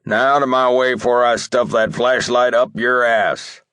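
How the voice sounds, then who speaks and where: A man's voice: medium pitch, little pitch movement, unhurried, fairly bright tone, clean tone, no room sound, natural voice. A man voicing a foul-mouthed, cantankerous old cowboy with a blustering drawl in an audiobook reading.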